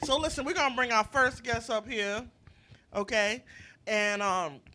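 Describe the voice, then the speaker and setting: Bright, forceful woman hosting a podcast. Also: fairly high pitch, a little slow. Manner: slangy, playful, repeats phrases for emphasis. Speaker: podcast host. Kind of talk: lively casual banter.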